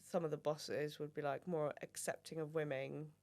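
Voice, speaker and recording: A woman's voice: low-pitched, little pitch movement, normal speed, darkish tone. A woman, a guest on a casual podcast chat, explaining at length in a thoughtful way with many fillers. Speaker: guest, family member.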